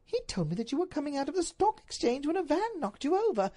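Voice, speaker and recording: A woman voicing an anguished, highly strung duchess in a storybook reading, pleading and breathless in a melodramatic way. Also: big pitch swings, fast talker, dark tone. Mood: puzzled.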